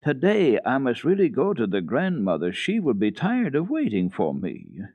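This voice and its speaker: A man voicing an eager, excited little girl in a storybook reading for old radio, high and insistent. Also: moderate pace, no echo. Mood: pleading. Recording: dull lo-fi old radio recording.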